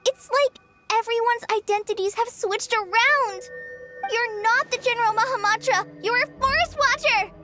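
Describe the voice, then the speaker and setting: Worried teen apprentice girl, high voice, earnest n hesitant, video game scene w music. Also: moderate pace. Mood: urgent, pleading. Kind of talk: video game cutscene acting.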